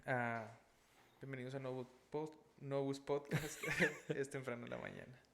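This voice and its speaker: A man's voice: mid-range voice, big pitch swings, measured pace, vocal fry, heavy background noise. Chatty man hosting a faith podcast. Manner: chatty, many fillers and restarts. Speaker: podcast host. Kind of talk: casual religious podcast hosting.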